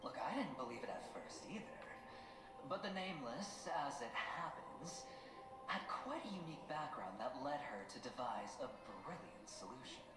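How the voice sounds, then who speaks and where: An actor playing a self-assured male gambler-schemer in a video game, speaking in a theatrical, teasing, deliberate storytelling manner. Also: medium pitch, lively intonation, lightly acted. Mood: earnest explaining. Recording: echoey game audio under music.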